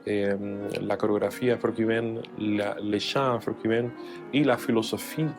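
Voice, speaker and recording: A man's voice: fairly low pitch, flat delivery, moderate pace, music in the background. A man from Cuba, a jazz pianist, speaking French as an in an interview, hesitant with many fillers and restarts. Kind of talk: interview testimony.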